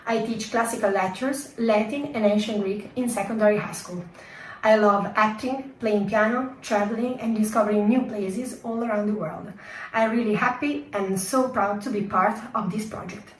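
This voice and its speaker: A woman's voice: mid-range voice, fairly even intonation, moderate pace. A cheerful young teacher introduces herself. She sounds distant and roomy, with a faint hiss of background noise. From Italy. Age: 20s. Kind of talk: recorded self-introduction. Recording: echoey room, distant mic, faint hiss.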